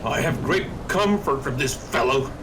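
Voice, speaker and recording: A man playing a wry, good-humoured old royal counselor in a Shakespearean audio drama, speaking in a measured, theatrical way. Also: medium pitch, animated, close to an everyday voice. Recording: loud noise, online remote recording.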